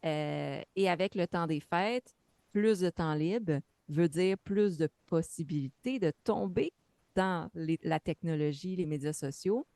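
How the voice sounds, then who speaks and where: Woman, low voice, practitioner, explanatory, many fillers, dry close sound. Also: unhurried, dark tone, dry recording. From Quebec. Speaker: mental health practitioner on a panel. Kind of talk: webinar talk.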